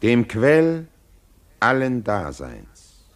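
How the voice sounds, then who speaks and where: A man's voice: mid-range voice, huge pitch swings, extremely slow, exaggerated voice. An actor playing a severe, dogmatic clerical adviser to a king in a classical radio drama, speaking formally and emphatically.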